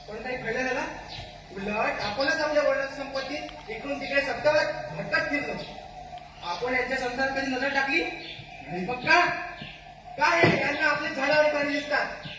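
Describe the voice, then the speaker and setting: A fierce jungle creature out for revenge , acted by a man on stage, declaims in a very high voice. The speech is accusing and full of rhetorical questions. It rings out from far off in a very echoey space with clear background noise. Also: moderate pace, long pauses, pushed and bright. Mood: stern, vengeful. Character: vengeful, fierce jungle animal who wants captive humans killed. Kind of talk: Marathi one-act stage play acting. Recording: echoey hall from far off, noisy.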